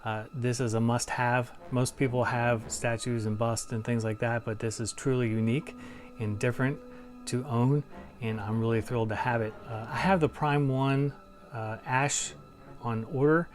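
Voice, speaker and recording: A man's voice: mid-range voice, fairly even intonation, moderate pace. A man hosting a collectibles review, chatting informally to viewers as an enthusiastic fan. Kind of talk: casual chat to viewers. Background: music.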